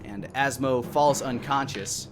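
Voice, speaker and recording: A man's voice: medium pitch, slow. A man in his thirties narrating and making rulings as game master on a live tabletop game stream. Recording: background music behind the voice. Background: music.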